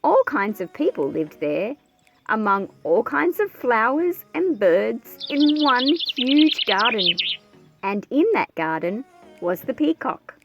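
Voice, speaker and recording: A woman reading a picture book aloud as an expressive, measured storyteller. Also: mid-range voice. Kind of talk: scripted storybook read-aloud narration. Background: background music.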